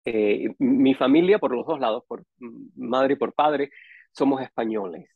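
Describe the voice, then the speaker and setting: Man, 40s, Cuban accent. Casual interview storyteller who pauses to find words. Muffled narrow-band, dry sound. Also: mid-range voice, creaky, husky, dry recording. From Cuba, raised partly in Costa Rica and Miami. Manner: hesitant, searching for words with long pauses. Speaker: interview guest. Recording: dull, band-limited dry audio.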